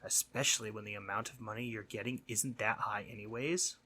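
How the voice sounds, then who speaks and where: A man playing a mischievous but concerned sleep demon in a roleplay audio monologue, husky and conversational, earnest and teasing, sometimes dropping to breathy near-whispers. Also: fairly low pitch, fairly even intonation, fairly quick, pushed and bright, slight background noise. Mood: earnest, frustrated.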